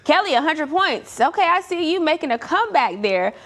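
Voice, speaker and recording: A woman's voice: high-pitched, very animated, a little fast, a bit bright. A woman hosting a culture quiz show with casual, playfully teasing banter. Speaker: game show host.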